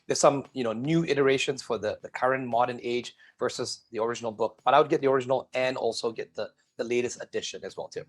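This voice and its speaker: A middle-aged man from Singapore, a guest telling his life story in an energetic interview and addressing the viewers directly. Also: mid-range voice, fast, few pauses.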